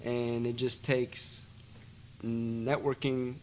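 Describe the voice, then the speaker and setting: A young man talks in a relaxed, slangy way, with lots of fillers and tag phrases. His pitch sits in the middle for a man. A faint hiss of noise hangs behind him. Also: extremely slow. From Silver Spring, Maryland, USA. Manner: laid-back slangy talk, many fillers and "you know what I'm saying". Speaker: guest rapper. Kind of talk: casual interview chat.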